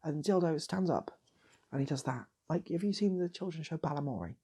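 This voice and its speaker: A man improvising a comic story in a soft, breathy ASMR style, often repeating phrases and slipping into whispers. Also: fairly high pitch, animated, a little fast. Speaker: storyteller, channel host.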